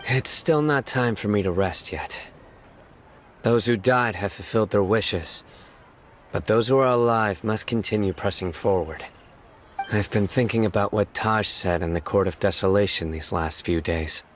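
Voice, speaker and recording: An actor voicing a stern, reserved young lawman in a video game, speaking in a solemn, measured way. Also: fairly low pitch, a little hiss or hum, lightly acted. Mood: earnest, reflective. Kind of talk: scripted video game character dialogue. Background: music.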